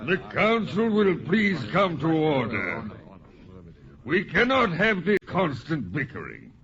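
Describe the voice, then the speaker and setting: An actor playing an exasperated, officious colonial town mayor in 1940s radio style, with a formal, emphatic, declamatory delivery. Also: male voice, fairly high pitch, wildly swooping pitch, takes it slowly, crowd noise, exaggerated voice. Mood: stern. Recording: dull 1940s radio transcription.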